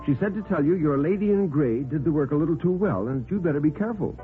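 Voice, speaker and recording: Man voicing a gushing, spiteful society woman, pitched up. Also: lively intonation, quick pace, lightly acted. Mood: urgent gossip. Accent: American. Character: gossipy, spiteful young society woman at a party. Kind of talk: 1950s radio drama acting. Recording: vintage radio recording, phone-like band, music behind.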